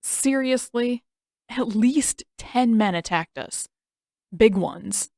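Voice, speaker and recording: A woman's voice: a little high, slow, vocal fry, darkish tone, no echo, studio quality. Woman doing a brash, blustering teenage boy. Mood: incredulous, scornful. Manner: blustering, disbelieving. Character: Sokka, brash, scoffing, incredulous teenage boy. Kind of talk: audiobook character dialogue.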